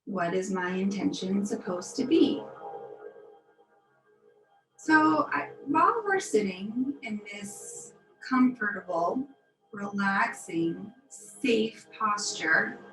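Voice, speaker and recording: A woman teaching yoga, leading a guided meditation in a soothing, unhurried, gently instructive manner. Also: mid-range voice, expressive. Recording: roomy echo, music behind. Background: music.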